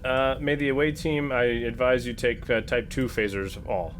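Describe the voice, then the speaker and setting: A man's voice: mid-range voice, steady tone, normal speed. A man chatting casually as a tabletop game player, in hesitant stop-start phrases. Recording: clear background noise.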